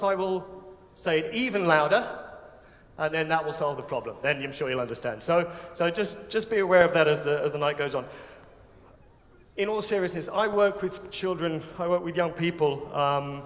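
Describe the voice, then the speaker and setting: A man's voice: a little high, a bit bright. Joking English man hosting on stage. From England. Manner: joking, self-mocking, chatty. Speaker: awards host. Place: large banquet hall with audience. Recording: long hall reverb.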